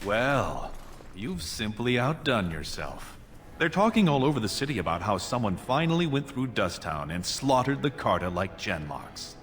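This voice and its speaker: An actor playing a scheming, courtly dwarf prince in a video game, speaking formally and persuasively in measured phrases. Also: male voice, medium pitch, very animated, a bit bright. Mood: calculating. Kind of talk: video game dialogue acting.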